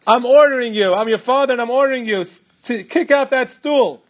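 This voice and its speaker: A desperate older father at the gallows presses his son with urgent, commanding words, as a man reads him. The voice is high for a man and carries a raw, insistent force. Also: lively intonation, moderate pace, breathy edge. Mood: shouting. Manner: pleading, then shouting orders.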